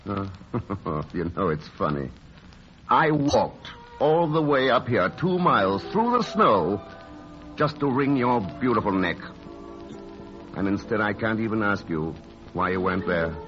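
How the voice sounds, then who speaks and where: A man's voice: on the low side, big pitch swings, measured pace. Man for old radio romance: wounded, wry suitor, hesitant banter, sweeping intonation, muffled broadcast with music underneath. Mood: wry, probing. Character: wounded, wry romantic painter visiting his lost love. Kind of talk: classic radio drama acting.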